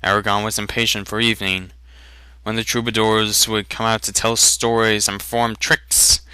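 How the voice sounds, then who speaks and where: Bright, crisp male storyteller. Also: lowish voice, flat delivery, moderate pace, no echo. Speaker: audiobook narrator. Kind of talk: dramatic reading of a fantasy novel.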